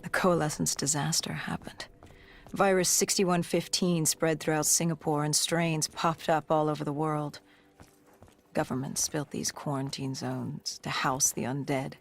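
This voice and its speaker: An actor voicing a grim, augmented soldier in a video game cutscene, narrating in a somber, measured, clipped way with a breathy, gravelly edge. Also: medium pitch. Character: grim cybernetically augmented soldier recounting a past disaster.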